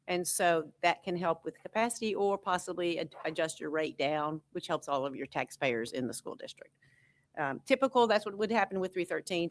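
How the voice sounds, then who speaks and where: A woman with a somewhat low voice walks a school board through tax figures. She explains patiently and conversationally, with frequent ums and asides. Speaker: school finance consultant. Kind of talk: board meeting presentation. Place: school board meeting room.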